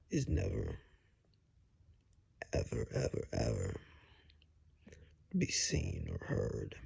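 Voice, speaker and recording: A man playing a wary, blunt woodsman guide in a hushed ASMR roleplay, speaking in a husky, halting, matter-of-fact near-whisper. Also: a bass voice, exaggerated voice. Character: wary, blunt male woodsman guide who knows monsters.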